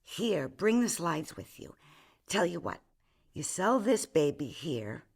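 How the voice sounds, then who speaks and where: A woman's voice: fairly low pitch, big pitch swings, takes it slowly, breathy edge, heavily acted. A woman acting a brash, worldly art dealer and pushy boss in a comedic radio drama, theatrical and full of endearments. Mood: pushy, urgent. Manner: theatrical, calls people darling and sweetie.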